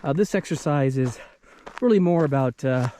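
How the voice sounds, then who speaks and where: An American man in his thirties talking casually to camera on a hike, halting and thinking aloud as he moves. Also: medium pitch, lively intonation, dark tone. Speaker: vlogger. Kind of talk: casual talk to camera while hiking. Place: outdoors in open desert backcountry. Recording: outdoor noise on handheld camera.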